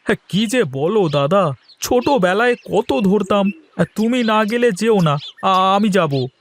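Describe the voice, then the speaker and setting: A man's voice: high-pitched, lively intonation, unhurried, slight background noise. A man voicing an eager, friendly village uncle in a cartoon, with an exclaiming manner. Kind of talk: cartoon voice acting.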